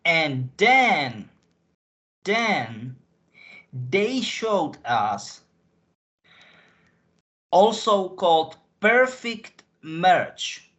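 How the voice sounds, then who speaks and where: A man from Slovakia with a Slovak accent gives rambling interview answers, haltingly repeating and rephrasing as he searches for words. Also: high voice, big pitch swings, a bit bright. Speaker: interview guest.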